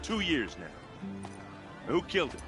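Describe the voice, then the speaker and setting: A man playing a blunt, rough-hewn envoy warrior in a video game, speaking out plainly and rousingly to a crowd. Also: a little high, huge pitch swings, measured pace, over music. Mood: earnest. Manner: plain-spoken oratory, rousing.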